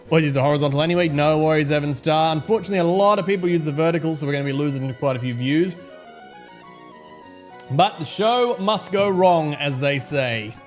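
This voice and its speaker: A man with an Australian accent and a slightly hoarse voice, chatting casually to viewers on a live game stream and greeting them by name. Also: on the high side, a little fast, soft background music. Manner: chatty, greets viewers by name, fretting over technical trouble.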